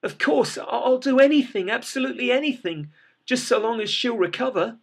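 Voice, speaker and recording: A man voicing a distraught small business owner, crying and pleading in a high, strained voice. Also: lively intonation, a little slow, a bit bright, full character voice. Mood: desperate. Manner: pleading, tearful, voice breaking high.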